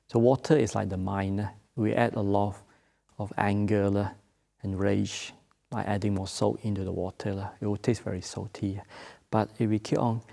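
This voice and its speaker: A man from Malaysia with a Malaysian accent, speaking English as a second language, giving a Buddhist Dharma talk as a monk, gentle and halting, with many filler sounds. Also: lowish voice, gravelly. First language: not English. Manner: gentle, unhurried teaching.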